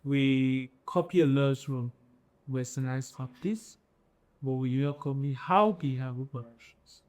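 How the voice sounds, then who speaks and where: A man on a panel, giving his final thought in a subdued, slow way. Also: mid-range voice, darkish tone.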